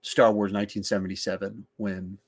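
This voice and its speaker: A man's voice: lowish voice, flat delivery, fairly quick. Conversational man hosting a casual podcast. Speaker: podcast host. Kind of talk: casual film discussion.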